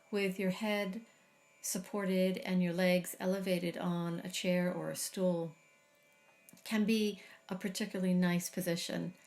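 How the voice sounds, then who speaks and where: Middle-aged woman, low-ish voice, calm slow meditation guide. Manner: slow, soft, soothing guidance with long pauses. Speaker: meditation teacher. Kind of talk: guided body scan meditation.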